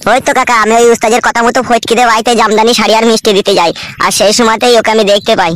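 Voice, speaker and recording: A man voicing an excitable, shifty young man in a comic cartoon, with a pitched-up effect. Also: racing, no echo, studio quality. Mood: anxious. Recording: music behind the voice.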